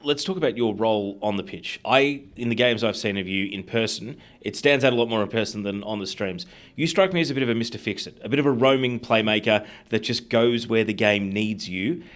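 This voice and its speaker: Male sports host, Australian accent, very quick pace, lowish voice, wordy questions. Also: a bit bright. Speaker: interviewer and show host. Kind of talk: conversational sports interview.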